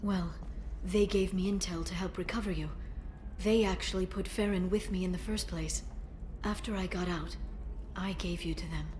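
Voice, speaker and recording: A woman voicing a composed alien information broker in a video game cutscene, speaking softly and in a measured way. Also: on the low side, steady tone, slight background noise, close to an everyday voice. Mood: subdued. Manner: soft-spoken, measured, quiet intensity.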